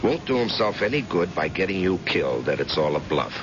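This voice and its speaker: A man's voice: deep voice, very animated, a little fast. A man acting in an old-time radio drama as a grim, loyal private eye, earnest and urgent, with hard-boiled colloquial talk. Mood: grave. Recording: muffled, noisy old radio recording.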